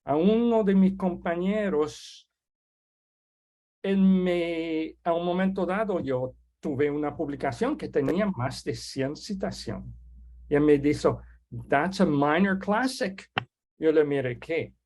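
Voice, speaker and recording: French-native guy hosting a webinar, kinda high voice, slow and halting, lots of restarts. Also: darkish tone. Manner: halting, many restarts and fillers. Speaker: webinar host introducing a guest. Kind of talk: casual spoken introduction. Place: online video call.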